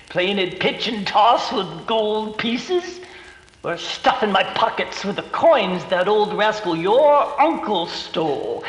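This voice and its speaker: A man playing a brutal, mocking thief from centuries ago in a radio drama, with rough archaic speech. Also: high-pitched, heavily acted. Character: menacing male thief of long ago, sneering and brutal. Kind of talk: 1930s radio acting. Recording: echoey long reverb, clicks and noise.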